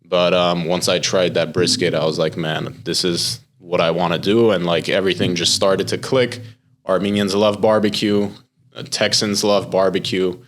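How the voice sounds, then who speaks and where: A young American man, a chef, talking casually in a podcast interview and explaining things in a relaxed way, with lots of filler words. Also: deep voice, normal speed. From United States. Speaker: chef and pitmaster, podcast guest.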